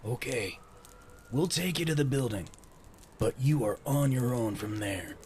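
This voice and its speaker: An actor playing the friendly but cautious leader of a homeless band in an audio drama, folksy and conversational. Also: male voice, medium pitch, lively intonation, unhurried, darkish tone, slight background noise, lightly acted. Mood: reluctant.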